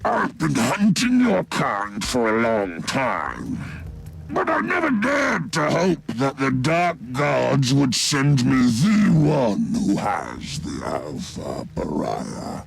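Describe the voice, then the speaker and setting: An actor playing a sinister mad scientist villain in a video game, pompous and mocking, with a gravelly voice and drawn-out theatrical delivery. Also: male voice, medium pitch, wildly swooping pitch, soft background music, exaggerated voice. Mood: gloating, possessive.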